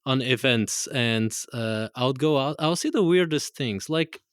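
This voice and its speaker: A man with a Portuguese accent talks loosely in front of a live audience. He rambles, corrects himself and starts sentences over. His voice sits at a middling pitch and sounds dry and close. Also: animated, unhurried, smooth, no room sound. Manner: rambling, self-correcting, laughs often. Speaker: guest musician. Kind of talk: casual live conversation. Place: live event room with audience.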